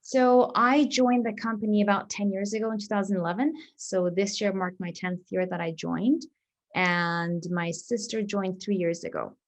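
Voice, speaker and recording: A woman's voice: mid-range voice, steady tone, moderate pace. A woman in her thirties from Dubai, speaking in a plain, explanatory way as she answers interview questions. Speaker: interview guest, family business executive.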